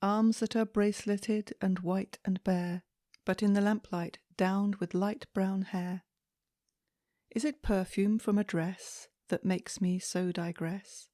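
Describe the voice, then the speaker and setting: A woman reading poetry aloud in a soft, calming, measured way meant for relaxation, with deliberate phrasing and pauses. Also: mid-range voice, no room sound, clean studio sound. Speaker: poetry reader.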